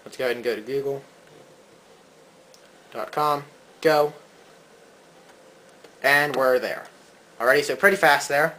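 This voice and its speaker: A man's voice: mid-range voice, unhurried, long pauses, pushed and bright, a little hiss or hum. A man giving a casual, hands-on gadget review as a co-host.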